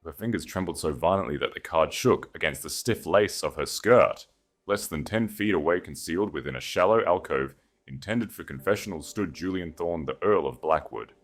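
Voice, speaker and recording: A man's voice: low voice, lively intonation, moderate pace, soft background music. A man narrating a scripted story in a dramatic storytelling style.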